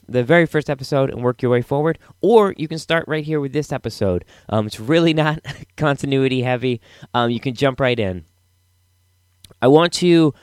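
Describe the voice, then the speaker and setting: Male podcast host, casual and slightly halting intro delivery, mid-range voice, recorded dry and close. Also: mid-range voice, clean tone, no room sound.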